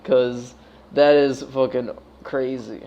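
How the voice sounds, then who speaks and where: A man's voice: medium pitch, fairly even intonation, slow, dark tone, muffled recording. An American man chatting casually as he hosts a livestream, slangy and swearing freely, with a laid-back stoner way of talking. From United States. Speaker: livestream host.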